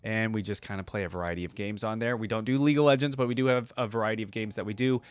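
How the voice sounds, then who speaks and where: A man's voice: on the low side, very fast, smooth, no room sound. A man hosting a reaction video, talking casually to his viewers about what he has heard.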